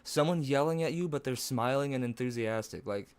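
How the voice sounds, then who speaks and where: A man chatting casually on a live stream from home, rambling and swearing, laughing at himself, with a low voice that breaks into odd higher notes. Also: brisk, a little mellow, smooth.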